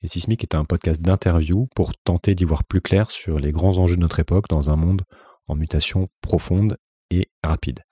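Low-voiced Parisian man, dark and husky. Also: fairly even intonation, normal speed, no room sound, studio quality. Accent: Parisian French. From France, Paris region. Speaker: podcast host. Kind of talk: reflective solo podcast monologue, conversational.

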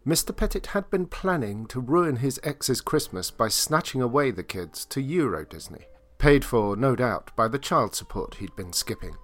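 A man's voice: medium pitch, a bit bright. A man reading a scripted comic murder mystery as narrator, in a droll, theatrical way. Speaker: story narrator and announcer. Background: music.

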